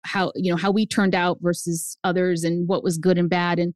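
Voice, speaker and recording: A woman in her fifties whose first language is Spanish, telling her family story as a guest author, animated and jumping up in pitch for emphasis. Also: moderate pace, no echo, clean studio sound. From United States. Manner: animated, swings up high for emphasis. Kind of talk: personal storytelling in an interview.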